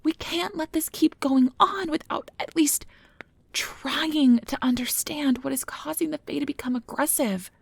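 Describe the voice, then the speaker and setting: A woman playing a nervous, earnest, principled field researcher of magical creatures in an ASMR audio drama, soft and hesitant with stammers, close to the mic. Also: high-pitched, unhurried, no echo. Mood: upset, impassioned. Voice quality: breathy, soft. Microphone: close mic, dry recording.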